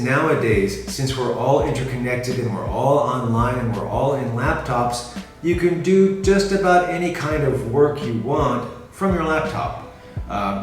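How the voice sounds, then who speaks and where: American man in a very echoey room. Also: medium pitch. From California, USA. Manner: measured, rephrasing as he goes. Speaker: freelancer presenting himself. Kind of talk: casual talk to camera. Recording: very echoey room, mic at a distance, music behind.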